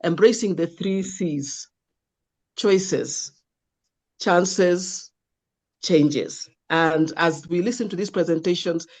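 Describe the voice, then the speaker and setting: A woman's voice: on the low side, slow, long pauses, darkish tone. A woman moderating an online class, speaking in a directive, instructive way as a lecturer. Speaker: lecturer moderating student presentations.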